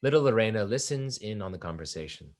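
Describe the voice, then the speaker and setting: A man's voice: fairly low pitch, expressive, fast talker, background noise. A man narrating stage directions from a script for a play reading.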